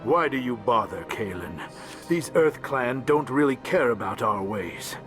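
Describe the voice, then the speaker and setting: A man's voice: mid-range voice, animated, measured pace, a bit bright, soft background music. A man voicing a small, grumpy, aggrieved alien diplomat in a video game, curt and world-weary. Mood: bitter, scornful. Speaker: ambassador. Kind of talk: video game character dialogue.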